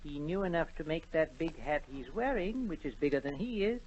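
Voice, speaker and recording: Proper, fussy royal courtier. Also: male voice, a little high, lively intonation, fairly quick, a little mellow. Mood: deferential. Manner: formal, deferential, slightly fussy. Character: proper, patient male royal courtier and adviser. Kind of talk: 1940s radio acting. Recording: dull vintage 1940 radio recording.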